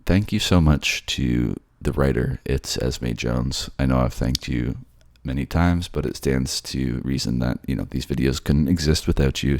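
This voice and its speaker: A man with a remarkably low voice full of creak talks casually and sleepily, close to the mic in a dry space. His delivery is hesitant and modest. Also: darkish tone, no room sound. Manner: hesitant, apologetic, low-key. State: sleepy, just woke up. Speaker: voice actor. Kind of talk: casual outro chat and soft narration.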